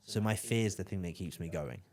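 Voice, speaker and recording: A man's voice: sits low, lively intonation, brisk, a little mellow. A young man from the south of England, chatting on a podcast and trailing off with fillers and tag questions. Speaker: podcast host. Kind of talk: casual podcast chat.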